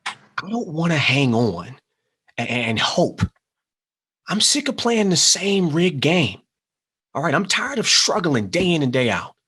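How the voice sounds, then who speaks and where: Actor as an earnest, halting recovering addict, animated pitch. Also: male voice, breathy edge, full character voice. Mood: frustrated, insistent. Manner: halting, confessional delivery. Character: earnest recovering gambling addict, weary man pushed to risk. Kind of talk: screenplay table read acting.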